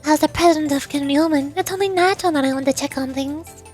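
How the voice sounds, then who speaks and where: A woman acts out a young female student president in a video game story. Her voice is high, with a composed, aloof and calculating air. She speaks formally and with measured authority over faint music. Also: darkish tone, lightly acted. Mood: composed, commanding.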